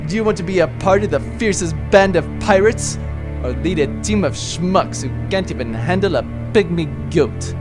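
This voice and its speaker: A man's voice: high voice, expressive, normal speed. Swaggering pirate major, big show-off voice, swings up and down a lot, a guy putting it on, music under it. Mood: rousing. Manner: blustering, theatrical commands. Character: swaggering one-eyed pirate major, vain and boastful. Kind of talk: comedy audio drama.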